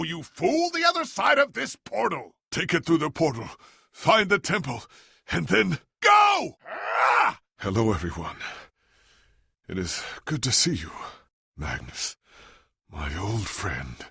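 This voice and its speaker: An actor playing a noble, ageing adventurer hero in a video game, solemn and earnest, with a gravelly, husky voice and a grave, formal, dramatic delivery. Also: male voice, fairly high pitch, wildly swooping pitch, takes it slowly, sharp tone, no echo, studio quality, exaggerated voice. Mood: desperate shouting, then warm.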